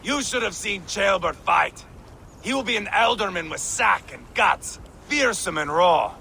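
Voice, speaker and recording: A proud, scornful Viking warrior for a video game. His high voice swoops freely and crackles with gravel, crude and swaggering throughout, over faint outdoor ambience. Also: animated, a little slow, pushed and bright, full character voice. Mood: boastful.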